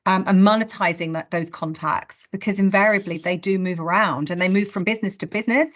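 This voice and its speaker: A woman's voice: mid-range voice, brisk, lo-fi sound. A woman hosting a casual business conversation in a chatty way with wry humour. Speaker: host of a recruitment industry discussion.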